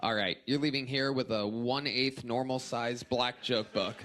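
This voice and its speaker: Male live comedy host, sharp bright tone, medium pitch, needling and mock-amazed delivery. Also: steady tone, normal speed, a little hiss or hum. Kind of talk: live roast banter, interviewing a guest comic. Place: live comedy show stage.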